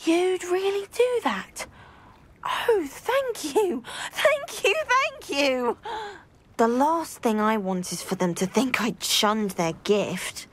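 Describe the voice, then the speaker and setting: A woman's voice: high-pitched, expressive, takes it slowly. An actress playing an earnest, anxious and effusively polite young woman in a video game. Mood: grateful. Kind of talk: scripted game acting.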